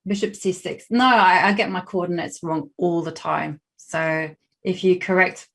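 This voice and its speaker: A woman's voice: on the low side, steady tone, unhurried. British lady chatting on a chess stream, rambly, lots of ums and restarts. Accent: British English. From Britain. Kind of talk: casual livestream chat while playing chess.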